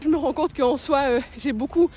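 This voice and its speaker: A young woman, talking casually and slangily as an interviewee, pausing on fillers. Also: sits high, slight background noise. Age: young adult. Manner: relaxed, slangy, halting with fillers. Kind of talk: casual conversation.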